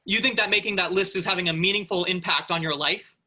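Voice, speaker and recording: A man's voice: high-pitched, quick pace, pushed and bright, full character voice. A man playing a restless, sarcastic space freighter worker, informal and exasperated, in a staged reading. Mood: wistful, challenging. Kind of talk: virtual staged reading of a sci-fi play.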